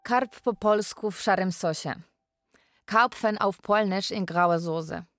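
An actress playing a polite, persistent young Polish carer in a radio drama, with careful phrasing, both narrating and speaking. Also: female voice, medium pitch, measured pace, a bit bright, soft background music. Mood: friendly, curious. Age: young adult. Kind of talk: radio drama, part narration in third person.

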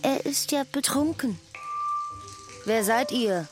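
A woman's voice: fairly high pitch, expressive, slow pace, music in the background. An actress playing a suspicious, unwelcoming village peasant woman in a German radio drama, brusque and challenging. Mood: suspicious, scolding.

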